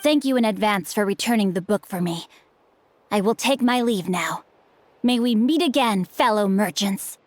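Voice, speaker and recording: A woman's voice: medium pitch, expressive, normal speed, lightly acted. A woman voicing a studious, polite young nobleman swordsman in a video game, formal, composed and slyly teasing. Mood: courteous. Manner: formal, archaic, eloquent, composed. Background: background music.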